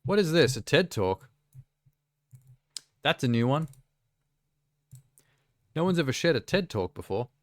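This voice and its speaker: A man's voice: mid-range voice, very animated, a little slow, pauses often, dry recording, studio quality. A man from South Africa giving casual, off-the-cuff reactions as a live streamer, with wry, mocking asides.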